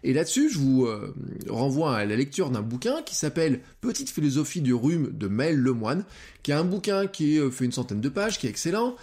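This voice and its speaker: Lively, hesitant podcast host thinking aloud. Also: male voice, on the high side, fairly quick. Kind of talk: solo podcast monologue. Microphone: close podcast microphone.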